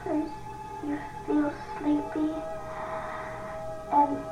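A woman's voice: high voice, completely flat delivery, drawn-out words, distant mic, highs cut off, over music. An actress playing a timid, curious little schoolgirl with innocent, hesitant delivery in a 1940s radio drama. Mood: uneasy, drowsy. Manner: innocent, wide-eyed, hesitant. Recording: echoey, noisy old 1940s radio recording.

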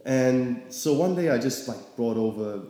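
A man's voice: mid-range voice, unhurried. A young man from Singapore, an artist, talking about his path in a casual, rambling way with lots of fillers. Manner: relaxed, rambling, many fillers. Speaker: artist guest. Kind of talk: casual interview. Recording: echoey room with long reverb.

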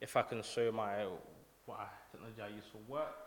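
A soft-spoken young man preaching a conversational sermon into a microphone, with frequent ums. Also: lowish voice, fairly even intonation, fairly quick, a little hiss or hum. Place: church hall. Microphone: handheld or stand microphone. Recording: roomy hall reverb.

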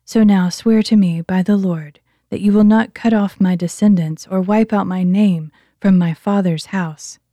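A woman's voice: mid-range voice, fairly even intonation, unhurried, hardly any pauses, warm tone, no echo, close to an everyday voice. A woman reading the lines of a remorseful older king who speaks with raised emotion in a scripture reading. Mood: contrite.

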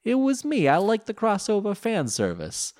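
A man voicing a goofy young male sidekick in a high, squeaky put-on voice. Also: wildly swooping pitch, normal speed, a little mellow. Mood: sheepish.

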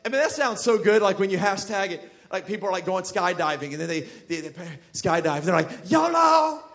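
High-voiced male pastor preaching. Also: very animated, a little fast. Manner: conversational, jokey, builds to shouting emphasis. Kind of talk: sermon. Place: church sanctuary.

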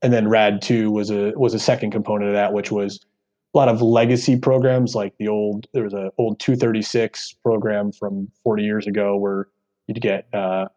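Deep mellow american guy explaining his work on a podcast, kinda earnest, slightly muffled sound. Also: little pitch movement, moderate pace. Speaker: podcast guest, real estate executive. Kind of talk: conversational interview answers.

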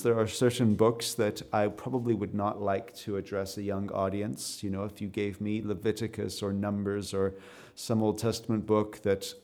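A man with a Canadian accent preaching a sermon to a live audience, conversational and full of ums and repeated words, building up to emphatic, louder stresses. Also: on the low side.

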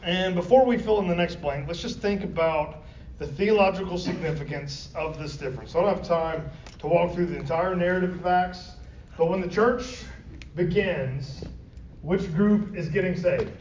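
A man's voice: high-pitched, normal speed, a little mellow. A man teaching a Bible study class, talking conversationally and often asking the group questions. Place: classroom or church meeting room. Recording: roomy, distant, dull lo-fi with faint noise.

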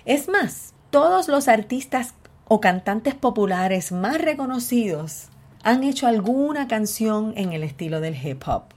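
A woman's voice: fairly high pitch, big pitch swings, unhurried, a little mellow. Outspoken Puerto Rican woman podcaster. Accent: Puerto Rican Spanish. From Puerto Rico. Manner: outspoken, candid, openly frustrated. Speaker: podcast host. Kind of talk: solo podcast monologue.

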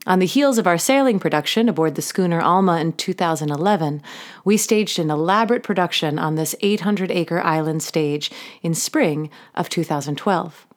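A woman hosting an audio drama, reading a scripted outro and credits in a measured, earnest way. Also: lowish voice. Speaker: host and narrator of an audio drama podcast.

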